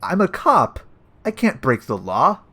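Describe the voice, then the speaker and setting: A man's voice: medium pitch, wildly swooping pitch, measured pace, bright, forceful tone, breathy edge, heavily acted. A man playing an earnest, literal-minded and upright police detective in a comedic audio play. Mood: earnest, defensive. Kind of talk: comedic audio drama acting.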